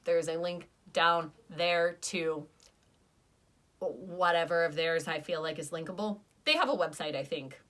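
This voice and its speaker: A young woman in casual conversation, her voice bright and forward. She talks with eager, chatty enthusiasm and argues in good fun. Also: fairly low pitch, a little slow. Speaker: podcast review co-host. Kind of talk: casual chat.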